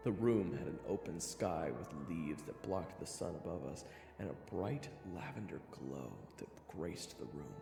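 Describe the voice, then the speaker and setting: A man's voice: low voice, expressive, measured pace, vocal fry, hardly any pauses. A man narrating a scripted first-person fantasy story dramatically, with wry asides. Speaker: narrator of an audio roleplay. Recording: reverberant hall effect, loud music bed.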